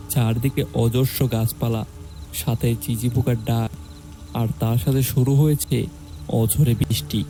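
Dark-voiced man narrating a horror story. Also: medium pitch.

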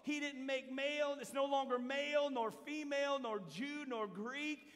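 An older man preaching a sermon as pastor to his church, shifting from quiet asides to shouted points. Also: very high-pitched, measured pace. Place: large church hall with a seated congregation. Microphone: handheld mic, roaming the stage. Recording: long hall reverb.

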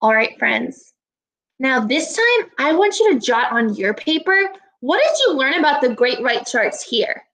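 A woman in her twenties, a teacher giving a read-aloud lesson to young children in a deliberate, instructive way. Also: high-pitched, very animated, fairly bright tone, low-quality recording. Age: 20s. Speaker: elementary school teacher. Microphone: laptop webcam at arm's length.

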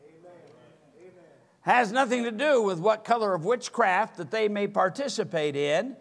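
High-voiced older preacher. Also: male voice, expressive, moderate pace, creaky. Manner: emphatic preaching, gesturing at a pulpit. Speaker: pastor. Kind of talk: sermon. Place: church sanctuary with empty pews.

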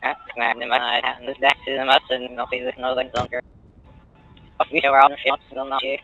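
A man's voice: mid-range voice, completely flat delivery, brisk, vocal fry, bright, forceful tone. A man chatting during an online role-play game, heard over voice chat. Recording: dull game voice chat, faint noise.

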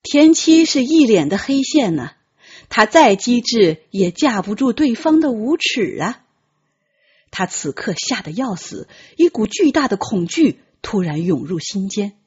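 A woman's voice: on the high side, very animated, a little slow, a little mellow, slightly rough, highs cut off. A woman narrating an audiobook as dramatic, scripted storytelling.

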